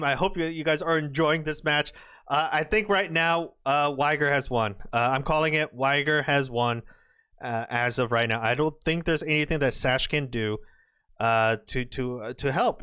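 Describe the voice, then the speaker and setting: Male game commentator, slightly hoarse, excitable and exclamatory, somewhat high voice. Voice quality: excitable, exclamatory, trails off and restarts.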